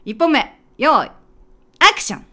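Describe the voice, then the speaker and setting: An excitable woman co-hosts a podcast with playful, mock-bossy banter. The voice is high and swings widely in pitch, over faint background music. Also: unhurried. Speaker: podcast co-host.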